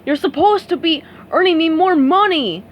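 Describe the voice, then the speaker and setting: A greedy crab boss bellows orders in a loud, bossy voice, as a woman reads him aloud. He sounds lively and forceful. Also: high voice, fast, dull audio. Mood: angry.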